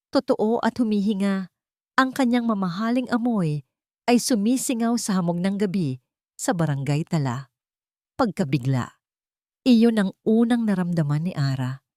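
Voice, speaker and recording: A woman with a Filipino accent reading scripted, dramatic love-story narration in a measured storytelling manner. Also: mid-range voice, warm tone, no room sound, studio quality.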